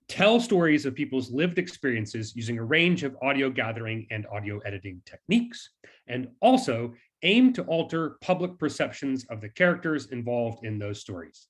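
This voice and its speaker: Mid-pitched man presenting academic research. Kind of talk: scripted academic presentation with brief asides.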